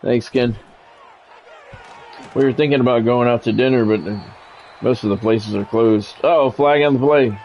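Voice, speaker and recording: A man's voice: medium pitch, steady tone, normal speed, pauses often, a little mellow. American man calling a game, dull lo-fi sound. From United States. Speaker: game streamer doing play-by-play. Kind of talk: sports play-by-play commentary mixed with casual livestream chat. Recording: dull lo-fi audio with faint noise.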